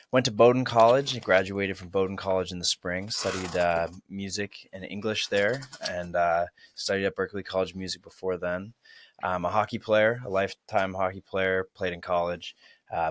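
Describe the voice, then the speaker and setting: A young man in his twenties from Maine, a guest talking about himself in a relaxed, friendly way. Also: low voice, dry recording. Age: 20s. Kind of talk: casual interview. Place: small studio. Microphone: studio mic on arm.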